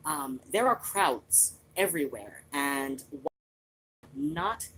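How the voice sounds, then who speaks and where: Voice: mid-range voice, a bit bright, slight background noise, rough recording. A streamer chatting casually to viewers in a rambling, digressive way.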